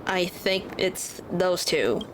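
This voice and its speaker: A woman's voice: on the low side, lively intonation, slow, slight background noise. A woman streaming a game, giving casual commentary with muttered, slangy reactions. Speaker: gaming streamer.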